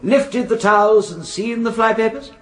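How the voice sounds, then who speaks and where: Defence counsel, male actor: high voice, measured, leading cross-examination, radio courtroom drama. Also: faint music behind, natural voice. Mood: probing, formal. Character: suave, probing defence barrister cross-examining.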